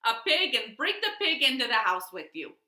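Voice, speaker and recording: A woman's voice: on the high side, very animated, moderate pace, bright, forceful tone, exaggerated voice. A woman voicing a confident village wise man in a children's story, giving advice emphatically. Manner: emphatic, repeats yes and yep. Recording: echoey living room.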